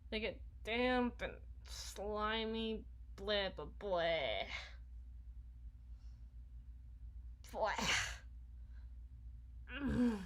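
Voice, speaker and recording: A woman's voice: mid-range voice, fairly bright tone. A woman playing a sulky, sarcastic young vampire shut-in in an ASMR roleplay, whining and mumbling through a one-sided conversation. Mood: sulky boasting. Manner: whiny, sarcastic, halting, dropping into mumbles and sighs. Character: sulky, sarcastic young female vampire, reclusive lazy gamer.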